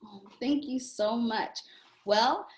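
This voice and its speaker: A woman's voice: on the high side, slow, roomy sound, low-quality recording. A woman hosting an online reading series interview, upbeat and welcoming as she introduces a guest. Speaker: club president and host.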